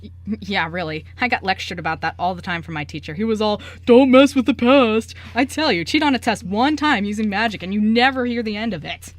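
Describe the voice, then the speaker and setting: A woman's voice: mid-range voice, brisk, close to an everyday voice. A woman playing a breezy young male journalist with secret magic in an amateur radio play, talking in a casual, joking, slangy way. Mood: confiding, casual.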